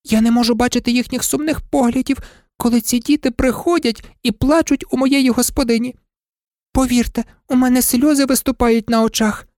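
A woman's voice: fairly high pitch, fairly even intonation, a little fast, mellow, no room sound, clean studio sound, voice pushed up, close to an everyday voice. A woman voicing a frightened, chattering old servant woman who pleads and wails. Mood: eager chattering. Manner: pleading, wailing.

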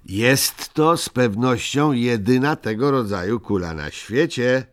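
A man voicing a cheerful, proud young male creature in a storybook reading, speaking in bright, eager exclamations and firm decisions. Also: medium pitch, animated, unhurried, voice pushed up, close to an everyday voice. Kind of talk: audiobook character voice.

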